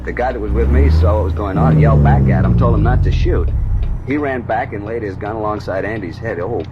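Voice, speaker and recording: Shaken shopkeeper: low-pitched, quick, colloquial radio-drama witness, heard through muffled playback with loud vehicle noise. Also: male voice, animated, gravelly. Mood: worked up. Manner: folksy, colloquial, rambling witness account. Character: plain-spoken male shopkeeper, shaken robbery victim. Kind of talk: 1950s radio drama acting. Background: loud vehicle engine and road noise.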